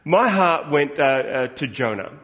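A middle-aged man preaching a sermon in a relaxed, conversational way, with many fillers and restarts. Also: mid-range voice, a little slow.

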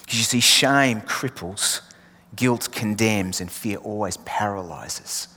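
A man's voice: lowish voice, takes it slowly, pushed and bright. A man with an Australian accent, born in England, preaching a sermon with emphatic repeated refrains that sometimes drop to a near whisper. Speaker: pastor. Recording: long hall reverb.

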